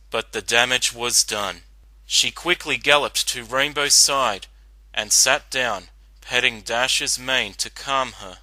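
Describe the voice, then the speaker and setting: A man gives a dramatic, scripted story narration. His voice is very bright and forceful at a medium pitch, recorded dry and close. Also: dry recording, rough recording.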